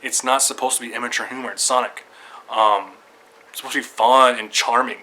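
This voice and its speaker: A man giving casual, rambling opinions to viewers in an excitable fan's manner, often repeating himself. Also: medium pitch, a little fast, poor audio. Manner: excitable fan talk, repetitive, loosely structured. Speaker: video creator giving opinions.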